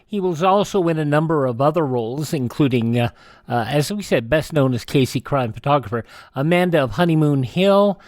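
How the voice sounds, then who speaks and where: Halting male radio show host. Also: fairly high pitch, expressive, darkish tone, no room sound, studio quality. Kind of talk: informal presenting of radio history.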